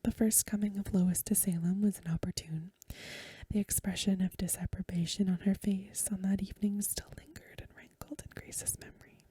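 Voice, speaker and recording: A woman reading a story aloud as soft-spoken ASMR, in a gentle, hushed, whispery voice close to the microphone. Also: medium pitch, flat delivery, normal speed, slightly rough, no room sound. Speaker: ASMR host.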